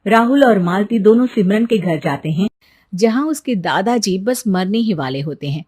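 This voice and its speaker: A woman's voice: mid-range voice, expressive, moderate pace, a little mellow, slightly rough. A woman narrating a scripted story as its storyteller.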